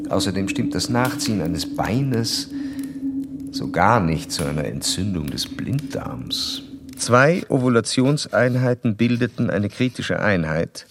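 Deep, animated, pedantic psychoanalyst. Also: male voice, vocal fry, heavy background noise, heavily acted. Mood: analytical, pressing. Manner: pedantic, triumphant, emphatic. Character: insistent early-1900s male psychoanalyst, self-assured and lecturing. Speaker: doctor. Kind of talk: German radio play acting.

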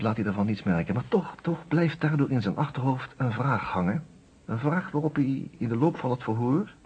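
An actor playing an expressive, reflective storyteller who narrates a detective radio play in a dramatic scripted style. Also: male voice, medium pitch, slightly rough. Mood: suspenseful. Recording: dull old radio recording.

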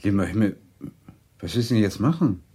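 Husky university lecturer for radio drama: lowish pitch, dry, quietly caring. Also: male voice, expressive, fairly quick. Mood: surprised, dry.

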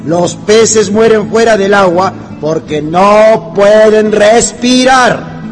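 Strict schoolteacher, highish voice, slow and didactic, radio play with faint music. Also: male voice. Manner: authoritative, didactic. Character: stern, patient-paced male schoolteacher quizzing pupils. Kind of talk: radio drama acting.

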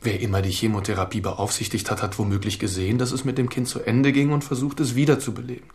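A man playing a smooth, patronising psychotherapist in a crime radio drama, with a measured, formal and insistent manner. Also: medium pitch, flat delivery, darkish tone, close to an everyday voice. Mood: reproachful. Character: smooth, patronising male psychotherapist, defensive and flirtatious. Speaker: supporting actor.

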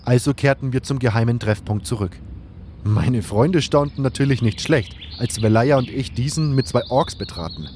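A man with a mid-pitched voice narrates a fantasy audio drama in the first person, reading scripted prose. Birdsong and ambient noise are clearly present behind his voice. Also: normal speed, a little mellow.

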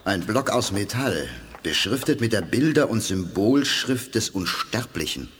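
A blunt, anxious sidekick. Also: male voice, fairly low pitch, animated, measured pace, a bit bright, breathy edge, full character voice. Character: blunt, worried male sidekick, impulsive. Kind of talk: 1980s radio play acting.

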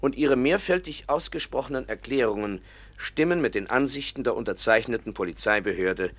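A man's voice: mid-range voice, expressive, brisk. A man acting in a German radio play as an earnest professor and pedagogue, formal and articulate. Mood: measured reading. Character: earnest male professor and pedagogue, devoted to his ward.

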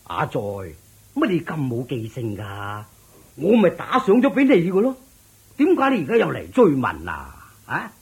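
Husky, swooping, smug lord. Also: male voice, mid-range voice, wildly swooping pitch, darkish tone, heavily acted. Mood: feigned patience. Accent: Hong Kong Cantonese. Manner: theatrical, drawn-out, condescending. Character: smug, tricky, miserly male lord. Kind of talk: radio drama acting.

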